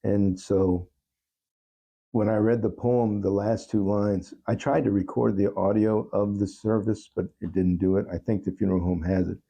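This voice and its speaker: A man speaking as an interview guest, telling personal stories in a reflective, halting and earnest way. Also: fairly low pitch, fairly even intonation, creaky, warm tone, breathy edge, highs cut off. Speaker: talk show guest, songwriter.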